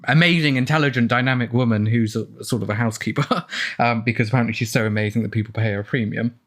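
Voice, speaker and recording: A man's voice: medium pitch, fast talker, a bit bright. A man chatting casually as an author guest on a film commentary, in a hesitant, rambling way, often breaking off and restarting his sentences. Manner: hesitant, rambling, self-interrupting.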